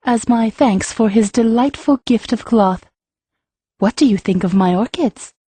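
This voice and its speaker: A woman voicing a poised empress who speaks in a formal, measured and dryly ironic way, in a storybook reading. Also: fairly high pitch, warm tone, breathy edge, no room sound, pitched above the performer's own voice. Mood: wry, regal. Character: regal, wry empress, poised and cool.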